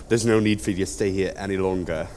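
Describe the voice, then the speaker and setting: An actor in an audio drama playing a patient, kindly police inspector, courteous and businesslike. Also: male voice, low-pitched, steady tone, moderate pace, a little mellow, slight background noise, natural voice.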